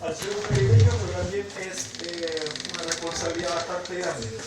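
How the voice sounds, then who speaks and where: A Chilean man preaching informally in Spanish with a Chilean accent, halting, with frequent pauses and tag questions. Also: sits high, steady tone, very noisy. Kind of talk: informal sermon with prayer and scripture reading. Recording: echoey room, distant mic, loud music behind.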